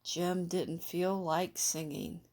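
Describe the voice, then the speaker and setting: A woman reading a children's picture book aloud in a measured, expressive storytelling style. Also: lowish voice. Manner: measured storytelling with acted dialogue.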